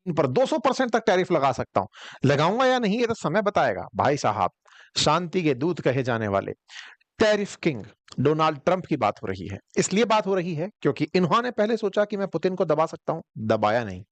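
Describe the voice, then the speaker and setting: Animated, high-pitched man mocking politics. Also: a little fast, no echo. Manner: mocking, theatrical storytelling. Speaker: current affairs commentator. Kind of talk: conversational political commentary.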